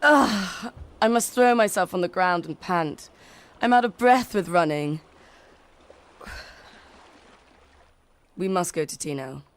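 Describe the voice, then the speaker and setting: A woman's voice: fairly low pitch, lively intonation, normal speed. A woman playing a restless, vain schoolgirl who loves to dance, with theatrical, eager, impatient delivery in a literary audio drama.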